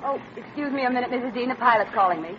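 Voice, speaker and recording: A courteous young airline hostess, acted by a woman in a 1940s radio drama. She speaks in a high voice with polite eagerness. The recording is muffled and faintly noisy. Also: very fast, natural voice.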